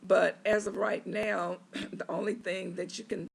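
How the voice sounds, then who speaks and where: A patient recording a casual video diary from a hospital bed, hoarse and raspy after neck surgery, talking in a slow, halting way with many filler pauses. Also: medium pitch, little pitch movement.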